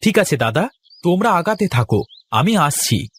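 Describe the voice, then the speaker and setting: A man's voice: sits high, sing-song, moderate pace, fairly bright tone, husky, full character voice. A man voicing an earnest, clever poor village farmer in a cartoon folk tale, with an exclamatory, pleading way of talking. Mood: friendly.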